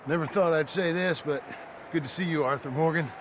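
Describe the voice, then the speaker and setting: An actor playing an injured, freezing outlaw in a video game, weak, exhausted and rueful, with a gravelly voice. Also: male voice, on the high side, brisk, noisy background, natural voice. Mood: weary, relieved. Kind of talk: video game cutscene acting.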